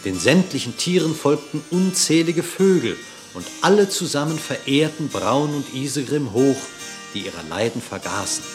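A man narrating a verse fable for a radio drama with measured, formal diction. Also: medium pitch, sing-song, music in the background.

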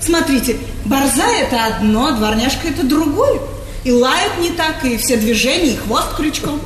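High, swooping, haughty society lady. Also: animated, moderate pace. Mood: airy, opinionated. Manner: affected, gushing society speech with French words. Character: frivolous, haughty aristocratic lady, gushing and self-assured. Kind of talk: theatrical stage comedy acting. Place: theatre stage. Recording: echoey theatre stage, distant, hissy 1980s recording.

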